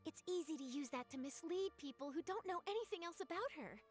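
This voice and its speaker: A woman playing an earnest young female journalist who speaks briskly and articulately about setting facts straight. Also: extremely high pitch, animated, faint music behind. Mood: determined. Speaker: reporter character.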